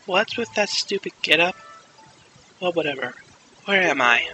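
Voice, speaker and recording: A man's voice: fairly high pitch, animated, a little slow, sharp tone, a little hiss or hum, voice pushed down, heavily acted, poor audio. A man voicing a bossy young pirate girl captain in a comedic game dub, curt, dismissive and sarcastic. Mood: irritated, sarcastic.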